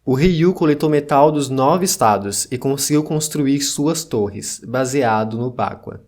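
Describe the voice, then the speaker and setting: A solemn ancient survivor of a fallen dynasty, acted by a man, recounts old lore in a mid-pitched voice. The delivery is formal, scripted audio-drama storytelling, grave and measured in tone. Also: lively intonation, darkish tone, natural voice.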